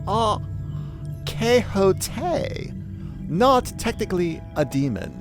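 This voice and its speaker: A gleeful, wicked spirit who puppets others in a fantasy audio drama. The phrasing is florid and mocking, drawn out slowly and swinging hugely in pitch. Music plays under the voice. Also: very animated. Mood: smug, mocking. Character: gleeful, theatrical, wicked puppet-master spirit, not technically demon.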